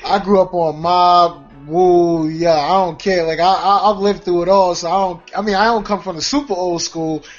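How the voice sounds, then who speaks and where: High-voiced man in his late twenties, lo-fi. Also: steady tone, moderate pace, few pauses, soft background music. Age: 20s. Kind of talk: casual banter. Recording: dull lo-fi sound.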